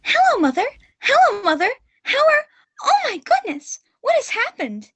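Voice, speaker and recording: A woman's voice: very high-pitched, huge pitch swings, normal speed, fairly bright tone, dry recording, full character voice. A young woman playing a falsely sweet little girl with a surly streak in a student radio play, overly polite and sing-song. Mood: sugary, feigned innocence. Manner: sing-song, exaggerated politeness slipping into surliness.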